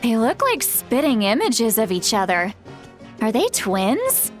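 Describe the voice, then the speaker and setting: A woman's voice: fairly high pitch, huge pitch swings. A woman voicing an ancient, self-mocking sorceress in a video game who still sounds like a young woman. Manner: unhurried, teasing, self-mocking. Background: game music.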